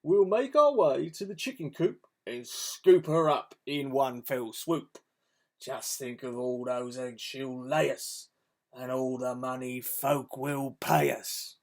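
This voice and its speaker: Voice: mid-range voice, takes it slowly, a little mellow. A reader voicing a big, bossy thief hatching a plan to steal a hen. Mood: scheming. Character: hefty male thief, bossy ex-convict.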